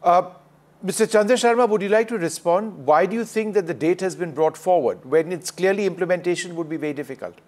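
A man moderating a panel debate, putting brisk, probing questions to the guests. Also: high voice, a little mellow.

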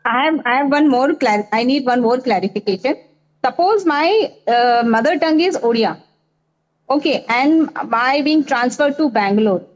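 A woman's voice: sits high, animated, moderate pace, lo-fi sound. A woman, pressing her questions insistently and repeating them in a heated panel debate.

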